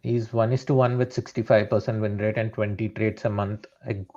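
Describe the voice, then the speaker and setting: A man's voice: lowish voice, level tone, a little fast, darkish tone, highs cut off. A man, a trader explaining his strategy step by step and often checking in with "right?". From India. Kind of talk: live trading session explanation.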